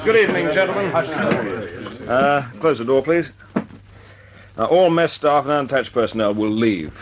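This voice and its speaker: An actor playing a brisk, commanding wartime air force squadron leader in an old-time radio drama, speaking in a clipped, authoritative way. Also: male voice, medium pitch, expressive, natural voice. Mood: firm, commanding. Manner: clipped, authoritative, informal with his men. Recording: noisy old radio recording.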